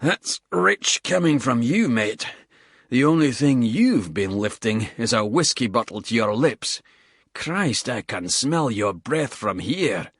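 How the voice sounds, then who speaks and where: Male narrator doing a blunt, sweary soldier, winded, husky, louder than the narration. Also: medium pitch, lively intonation, slow, no room sound, studio quality, heavily acted. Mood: mocking banter. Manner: blunt, sweary, breathless between words. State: out of breath.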